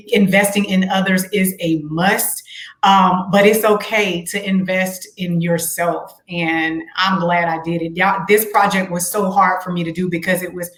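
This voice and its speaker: A woman's voice: fairly low pitch, fairly bright tone, roomy sound, low-quality recording. A woman singer being interviewed, telling her story in an earnest, reflective way with faith-filled words. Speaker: singer and guest. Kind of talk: casual interview chat.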